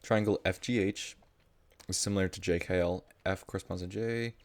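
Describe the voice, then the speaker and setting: A man's voice: sits low, steady tone, moderate pace, dry recording. A man tutoring math live in a casual, informal way, thinking aloud as he explains.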